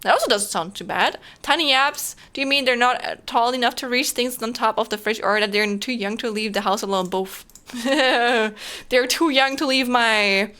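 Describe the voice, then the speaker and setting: A woman's voice: a little high, moderate pace, sharp tone. A young German woman, a native German speaker with a German accent, chatting casually with her stream viewers in a rambling way full of fillers. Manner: rambling, filler-heavy, frequent laughter.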